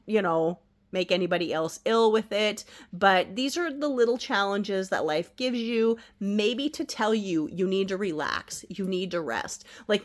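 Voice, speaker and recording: A middle-aged woman speaks gently and soothingly from home, leading an oracle card reading. Her voice is congested and nasal from a head cold, and she lets her words stretch out slowly. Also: medium pitch. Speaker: oracle card reader, channel host. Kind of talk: casual spiritual card reading. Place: at home, indoors.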